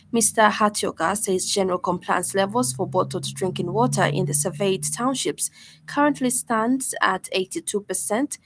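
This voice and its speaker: A woman's voice: mid-range voice, a little hiss or hum. Zambian woman reading radio news. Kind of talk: scripted news bulletin reading. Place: radio studio.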